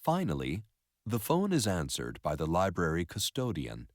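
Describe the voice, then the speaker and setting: A man narrating a story in an expressive educational read-aloud style. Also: low voice, normal speed, no room sound, studio quality.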